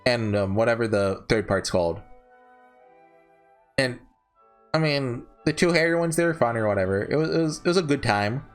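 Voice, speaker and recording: A man hosting a casual, unscripted ranking video, talking in a rambling, halting way and often correcting himself. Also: mid-range voice, lively intonation, soft background music. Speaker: video host ranking visual novels. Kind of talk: casual unscripted tier-list commentary.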